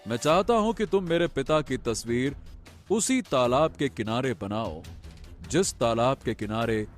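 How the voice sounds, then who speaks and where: A man playing an earnest young prince in a cartoon story, speaking theatrically with drawn-out pauses and emphatic repetition. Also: medium pitch, lively intonation, faint music behind, natural voice. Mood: wistful. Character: young prince, earnest, brooding, grandly expressive. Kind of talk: Hindi animated moral story dialogue.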